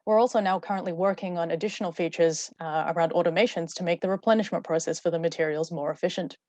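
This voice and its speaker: A woman delivers a rehearsed webinar talk at a brisk clip over a video call. Her voice sits a little low, and the sound is dry and close. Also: darkish tone, no echo. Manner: fluent, rehearsed. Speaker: webinar speaker. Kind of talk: prepared talk.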